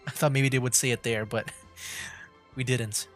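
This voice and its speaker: A man's voice: mid-range voice, steady tone, measured pace, fairly bright tone, faint music behind. A man hosting a game livestream with casual commentary, musing aloud in a rambling way. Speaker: livestream gamer host.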